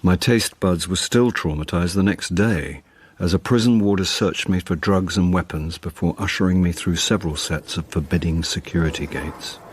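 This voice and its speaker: Deep-voiced wry amateur sleuth. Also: male voice, normal speed, hardly any pauses, breathy edge, no echo. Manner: dry, wry. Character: wry middle-aged male actor turned amateur sleuth. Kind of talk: radio drama dialogue and first-person narration.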